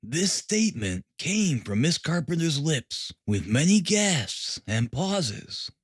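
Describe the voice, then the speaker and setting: Male narrator for classic fiction: measured, formal reading, expressive intonation, very dry studio-like sound. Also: fairly high pitch, slightly rough, no room sound. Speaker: audiobook narrator. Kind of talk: scripted literary narration.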